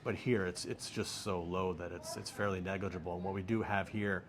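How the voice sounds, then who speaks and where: A middle-aged American man giving relaxed, joking answers as an interview guest. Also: deep voice, fairly quick. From United States. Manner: relaxed, joking, laughs easily. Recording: faint background noise.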